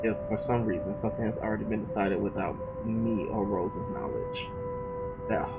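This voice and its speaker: Low-voiced man reading over loud music. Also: poor audio. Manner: informal, slangy asides between read passages. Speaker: let's play host. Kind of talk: reading visual novel narration aloud with casual asides. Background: loud game music.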